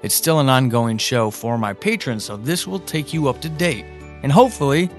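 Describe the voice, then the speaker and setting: A man's voice: mid-range voice, expressive, normal speed, soft background music. A man speaking as a podcast host, giving an introductory announcement to listeners.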